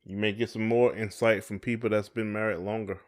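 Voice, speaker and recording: A man's voice: lowish voice, level tone, brisk. A man chatting casually as a podcast co-host. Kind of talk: casual conversational chat.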